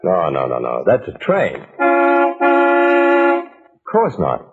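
A man's voice: a bass voice, wildly swooping pitch, takes it slowly, faint music behind. A man announcing stories in a dramatic old-time radio style, addressing the listener in a theatrical, teasing way. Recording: narrow-band vintage radio recording.

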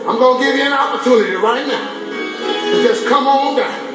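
Very high-pitched theatrical preacher. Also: male voice, a little fast, distant mic. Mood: exhorting, jubilant. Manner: exaggerated sing-song church exhortation. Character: theatrical, slippery male preacher calling out to his congregation. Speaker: stage actor. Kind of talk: gospel-preacher stage play acting. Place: theatre stage, replayed on a television. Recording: TV playback filmed from across room, echoey, music.

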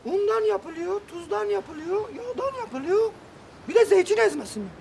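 A performer voicing a forgetful, sharp-tongued old grandmother who gives dry, matter-of-fact retorts. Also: extremely high pitch, animated, moderate pace, vocal fry, slight background noise, exaggerated voice. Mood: tart.

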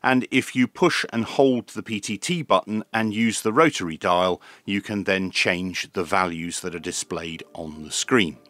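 A man's voice: sits low, a little slow, few pauses, bright, forceful tone, no echo. A man giving an informal hands-on product review, explaining things methodically, step by step. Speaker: radio reviewer, channel host. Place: small indoor room at a desk.

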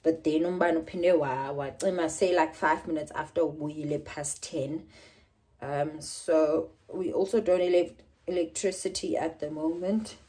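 A woman's voice: sits low, fairly even intonation, normal speed. Young South African woman chatting casually. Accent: South African, Xhosa. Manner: rambling, code-switching, with soft laughs. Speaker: vlogger. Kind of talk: casual vlog chat. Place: small bedroom indoors. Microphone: phone camera at arm's length.

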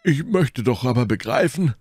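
Here is a man acting out a blustering musketeer in an audiobook adventure. He sounds blunt, emphatic and a little baffled. The voice jumps up high and loud over a dry, close recording. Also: expressive, normal speed, husky, dry recording. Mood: blunt, puzzled. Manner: emphatic, querulous. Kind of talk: audiobook character dialogue.

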